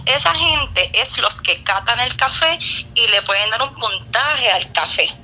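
A young Puerto Rican woman chatting casually as an interview guest, explaining things in an expressive, talkative way. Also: a little high, unhurried, highs cut off, a little hiss or hum. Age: young adult. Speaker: guest, barista and coffee YouTuber.

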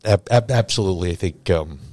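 A man in his fifties, raised in Canada after a Hong Kong birth, talking as a guest with many pauses and restarts. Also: sits low, a little mellow, no room sound, studio quality. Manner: hesitant, many fillers and restarts. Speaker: insurance executive, guest.

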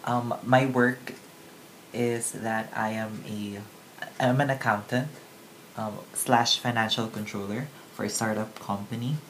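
A man's voice: on the low side, a little slow, poor audio. Twenties Filipino guy guesting on a podcast, easygoing and hesitant, faint noise. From Philippines. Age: 20s. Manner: relaxed, hesitant with many ums, switches into Tagalog. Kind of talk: casual podcast chat.

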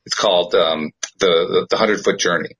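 Male podcast host, very low and husky, measured and reflective. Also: steady tone, a bit bright, low-quality recording. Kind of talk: casual chat.

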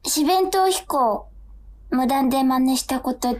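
An apologetic, slightly quirky young woman in radio drama. Her voice is very high. She speaks hesitantly and earnestly, in a natural style. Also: measured pace, darkish tone.

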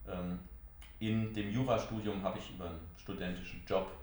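Male interviewee, middle-aged. Strong room echo, deep register, thoughtful and hesitant conversational delivery. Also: little pitch movement, darkish tone. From Germany, near Mainz. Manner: halting, reflective, with frequent hesitations. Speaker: interviewee, member of parliament and IT entrepreneur. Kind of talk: conversational interview answers, recounting own career. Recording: very echoey, reverberant room.

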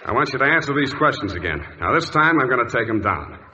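An actor playing a shrewd, tough, sardonic detective in an old-time radio drama, with clipped, wry talk. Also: male voice, mid-range voice, big pitch swings, fast, sharp tone. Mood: patient, insistent. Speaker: lead actor and narrator. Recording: dull, faintly noisy old radio recording.

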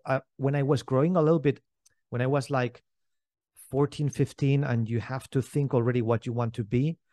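Man, mid-pitched voice, casual interview storytelling, dry recording. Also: a little mellow, dry recording. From Spain. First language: not English. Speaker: podcast guest, entrepreneur.

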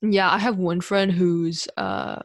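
A woman's voice: on the low side, sing-song, slow pace, creaky, no room sound, clean studio sound. A young woman leading a casual chat with friends, easygoing and full of "um" and "mm" replies. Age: young adult. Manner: easygoing, many "um" and "mm" responses.

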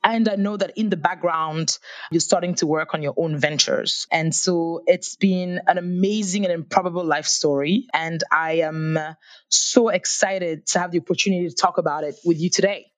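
A woman's voice: fairly low pitch, steady tone, normal speed. Effusive woman hosting a podcast. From Africa. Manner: warm, effusive, eager. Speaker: podcast host. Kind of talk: podcast interview. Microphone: video call.

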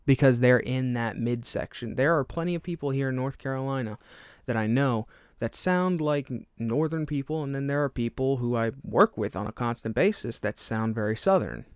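A man's voice: mid-range voice, lively intonation, normal speed, clear, resonant tone, no room sound, clean studio sound. A young man with a fairly neutral American accent, hosting his podcast alone, chatting casually and rambling off topic with fillers and small laughs. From Alabama. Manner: rambling, self-deprecating, loses track. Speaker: podcast host. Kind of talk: solo rambling podcast monologue.

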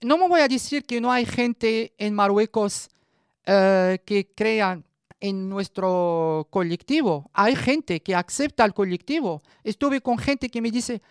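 A woman in her thirties with a Moroccan accent gives a personal testimony, haltingly searching for words in a language that is not her first. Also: medium pitch, clear, resonant tone, no echo, clean studio sound. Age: 30s. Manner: halting, searching for words in non-native Spanish. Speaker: radio guest giving a personal testimony.

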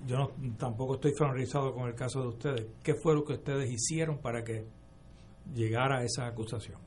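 A man's voice: mid-range voice, fairly even intonation, brisk, a little mellow, slight background noise. A man working as an interviewer, putting questions in a polite, conversational way.

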